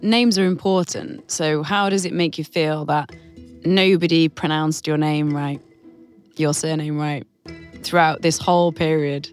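A woman's voice: low-pitched, steady tone, unhurried, soft background music. A woman interviewer asking questions in a conversational way.

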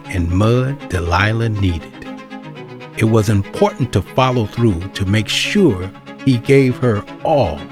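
A man narrates an audio drama from a script in a dramatic, deliberate style. His pitch is fairly low and his delivery slow. Bowed string music plays clearly behind his voice.

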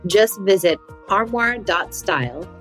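A woman's voice: mid-range voice, lively intonation, measured pace, fairly bright tone, soft background music. A woman host reading a sponsor ad in a friendly, chatty way. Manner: friendly, chatty promotional delivery. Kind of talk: ad read.

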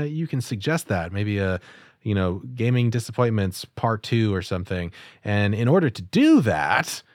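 Easygoing podcast host. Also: male voice, medium pitch, darkish tone, no echo, studio quality. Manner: easygoing, chatty, many fillers. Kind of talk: casual podcast chat and outro.

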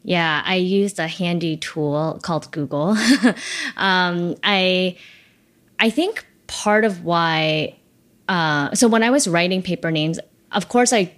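A woman's voice: on the low side, expressive, slow, fairly bright tone. A young adult woman, born in China and raised in the United States, answering interview questions about her writing in a casual, reflective way with many fillers. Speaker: debut novelist, guest.

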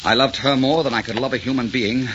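An actor playing a proud, commanding desert sheik in a 1940s radio drama, with an imperious, teasing way of talking. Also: male voice, medium pitch, steady tone, fast, highs cut off, close to an everyday voice. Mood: grave, bitter. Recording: noisy, crackling 1940s radio recording.

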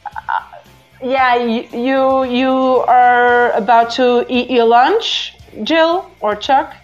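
A woman from Portugal with a Portuguese accent, a native Portuguese speaker, hosting a casual live call-in show in a halting way with repeated words. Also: on the high side, steady tone. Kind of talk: casual live chat with listeners. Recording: dull lo-fi app audio with music. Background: music.

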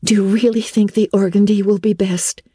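A woman's voice: mid-range voice, flat delivery, normal speed, warm tone, husky, no room sound, studio quality, voice pushed up, close to an everyday voice. A woman voicing an earnest, dreamy teenage girl, affectionate and a little anxious, in a storybook reading. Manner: earnest, wistful.

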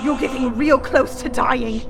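Woman acting very high-voiced, menacing ritual villainess. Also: fairly even intonation, unhurried, creaky. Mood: irritated, threatening. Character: Chelsea, menacing ritual-chanting villainess, vengeful and volatile. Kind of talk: radio horror drama acting. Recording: loud music over the voice.

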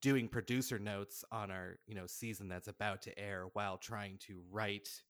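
A man with a bright, forceful voice a little on the low side, recorded close and dry. He chats casually as an interview guest, slipping into quoted dialogue as he explains. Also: steady tone, normal speed, smooth, no room sound. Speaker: podcast guest, audio drama writer-director. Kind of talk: casual chat.

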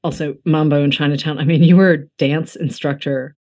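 A woman from the United States hosting a casual book interview, talking informally with animated, self-deprecating asides. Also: low voice, fairly quick. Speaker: interviewer, host.

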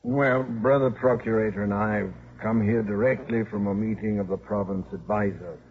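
An actor playing an apologetic, soothing church official in a 1950s radio drama, speaking hesitantly. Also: male voice, fairly low pitch, fairly even intonation, moderate pace, natural voice. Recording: muffled 1950s radio broadcast.

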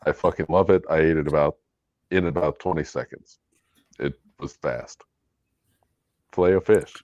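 Very deep-voiced, laid-back man. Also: fairly even intonation, normal speed, darkish tone, no echo, dull audio. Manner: laid-back, blunt, swears casually. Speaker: podcast panelist. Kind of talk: casual chat.

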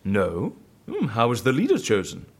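A man's voice: medium pitch, huge pitch swings, normal speed. A man playing a cynical, amused friend in an audio comedy, teasing and incredulous. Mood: curious, wry. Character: cynical, amused male friend egging on a politician. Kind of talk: audio comedy drama acting.

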